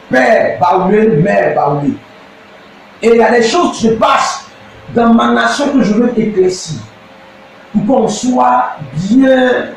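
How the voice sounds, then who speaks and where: A man from Côte d'Ivoire with an Ivorian French accent, preaching an impassioned address as a pastor, often shouting and drawing out words for emphasis. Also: very high-pitched, very echoey, far from the mic, slight background noise, poor audio. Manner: declamatory, often shouting, with slow emphatic stretches.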